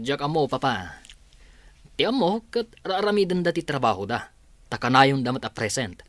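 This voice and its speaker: An actor playing an easygoing adult son in a family business in a radio drama, with an Ilocano Filipino accent. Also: male voice, mid-range voice, sing-song, moderate pace, a bit bright, no room sound.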